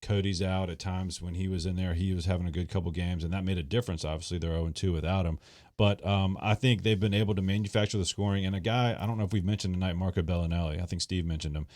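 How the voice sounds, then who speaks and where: A man with a deep, low voice co-hosts a sports podcast. He chats casually and jokes in an easygoing way. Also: steady tone, fast talker, a little mellow. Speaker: podcast co-host.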